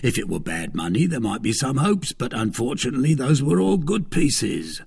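A humble innkeeper from an adventure storybook, voiced by a man, wheedles and pleads deferentially. The voice is husky and creaky, with a lively rise and fall. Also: medium pitch, normal speed, pitched above the performer's own voice, full character voice. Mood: meek. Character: poor male innkeeper, humble, wheedling and calculating. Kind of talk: storybook character reading.

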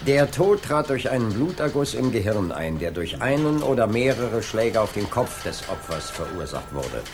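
A man's voice: on the low side, big pitch swings, moderate pace, soft background music. A man playing a middle-aged police inspector in a 1980s German radio drama, authoritative and dryly sardonic. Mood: brisk, commanding. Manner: commanding, dry, sardonic. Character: brisk, authoritative male police inspector leading a murder case.

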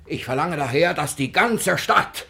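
A man's voice: fairly high pitch, animated, a little slow, creaky, sharp tone, full character voice. An actor in a classic German radio play playing a miserly old master, frantic about his stolen money, stammering, wailing and ranting. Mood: indignant, demanding.

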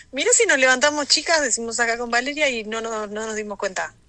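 A middle-aged Argentine woman speaks casually and playfully in a voice message. Her voice is bright and fairly high, recorded very dry. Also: a little fast, dry recording. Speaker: listener sending a voice message.

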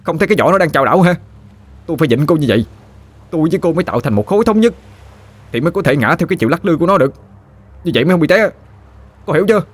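A man with a Southern Vietnamese accent voicing a scrappy, hot-headed young man who stammers and blusters quickly. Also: on the high side, expressive, voice pushed up. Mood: flustered.

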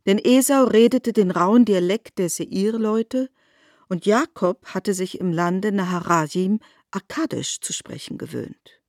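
A woman narrates literary fiction for a radio drama. Her mid-pitched voice is measured and articulate, recorded dry without room sound. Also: a little mellow, no echo.

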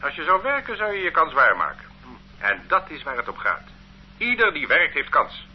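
An actor playing a stern, condescending police officer who lectures a detainee in an authoritarian way in a radio drama. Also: male voice, a little high, huge pitch swings, normal speed, slight background noise. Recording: muffled old radio play recording.

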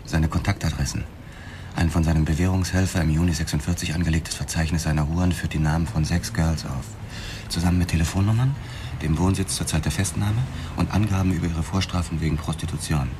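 Man playing a low-voiced, insistent police detective. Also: fairly even intonation, fast talker, lightly acted. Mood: matter-of-fact. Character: driven male police detective, curt and insistent. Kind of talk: German radio drama acting. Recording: clear background noise.

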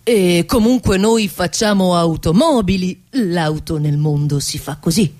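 A woman's voice: on the low side, measured pace, darkish tone. A woman playing a smug, evasive male company executive in a satirical radio play, answering dismissively. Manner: dismissive, hesitant openings, mangled corporate English.

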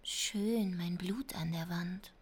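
Radio drama daughter: brooding, embittered, lowish voice, hushed inner-monologue delivery. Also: female voice, slow, breathy edge, exaggerated voice. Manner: hushed, often whispering. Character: brooding, bitter adult daughter haunted by memories.

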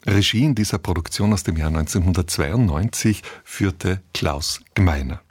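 A man's voice: low voice, normal speed. A man reading a scripted introduction to a radio play as presenter. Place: radio studio.

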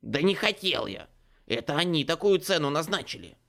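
A man's voice: a little high, sing-song, moderate pace, creaky, fairly bright tone, voice pushed up, exaggerated voice. A man voicing a cowardly young changeling who whines and pleads in a panic, read as an audiobook character. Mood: panicked pleading.

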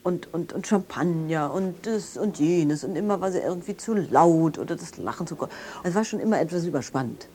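Actress as mellow, lowish-voiced disapproving woman. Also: lively intonation, normal speed, natural voice. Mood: disapproving, puzzled. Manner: rambling, hedging, conversational. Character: disapproving woman witness recalling an overwrought acquaintance. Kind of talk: naturalistic interview-style radio drama.

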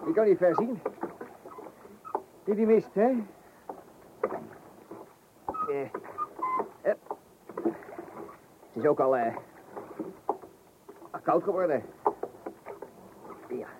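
A muffled old radio recording with faint noise carries an amiable, unsure man in a comedy. He talks colloquially and haltingly, with a highish voice that moves up and down freely. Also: big pitch swings, heavily acted. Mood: effortful, uneasy. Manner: hesitant, colloquial, chatty. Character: good-natured, hesitant novice angler telling his misadventure. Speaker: narrator and lead character. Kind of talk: comic radio play acting.

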